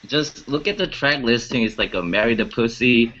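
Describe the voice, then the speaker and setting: A man's voice: mid-range voice, moderate pace. A man co-hosting, sharing his opinions in a casual conversational chat. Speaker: co-host. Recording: muffled with background noise, clicks.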